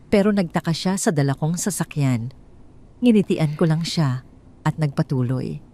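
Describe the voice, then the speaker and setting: Female storyteller, lowish pitch, tense dramatic audiobook read. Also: a little mellow. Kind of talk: scripted first-person audio drama narration.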